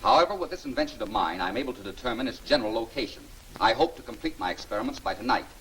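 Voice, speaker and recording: An actor playing a confident scientist-detective hero in a 1940s film serial, explaining things in a brisk, assured way. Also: male voice, medium pitch, expressive, a bit bright, lightly acted. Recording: faintly noisy old 1940s film soundtrack.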